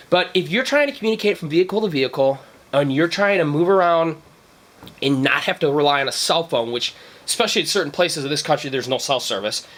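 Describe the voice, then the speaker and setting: A man's voice: fairly high pitch, a bit bright. A man giving a casual, unscripted, opinionated explanation in a blunt, emphatic, conversational way with some salty language. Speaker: vlog host, radio hobbyist.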